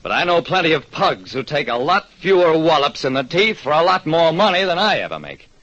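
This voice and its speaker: A man's voice: a little high, big pitch swings, a little fast, fairly bright tone. An actor playing a hard-luck, wry, world-weary private detective who narrates his case in an old radio drama. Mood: resigned, rueful. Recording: dull, noisy old radio recording.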